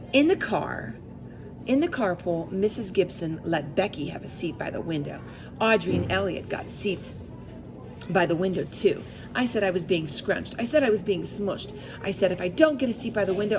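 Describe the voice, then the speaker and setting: A woman's voice: on the low side, background noise. A woman reading a picture book aloud to young children, expressively, with asides and questions to her listeners.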